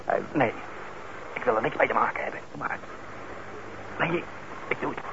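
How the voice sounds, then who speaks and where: An actor playing a nervous, defensive small-time crook with halting, agitated protests in a Dutch radio play. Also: male voice, medium pitch, lightly acted. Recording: muffled radio recording with loud noise.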